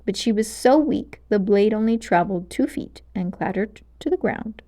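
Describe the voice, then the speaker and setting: A woman narrating a children's storybook aloud in an expressive, dramatic and clearly paced way. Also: medium pitch, moderate pace.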